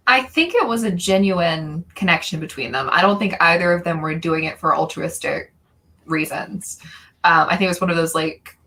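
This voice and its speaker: Female guest reviewer, crisp bright tone with marked fry, informal and opinionated. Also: fairly low pitch, normal speed. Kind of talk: casual opinionated chat.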